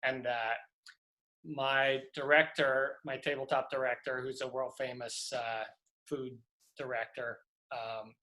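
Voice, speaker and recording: A man's voice: mid-range voice, little pitch movement, slow pace, bright, forceful tone, rough recording. Rambling Louisiana man telling stories. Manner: rambling, many fillers and restarts. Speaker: prop master guest. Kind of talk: relaxed interview storytelling.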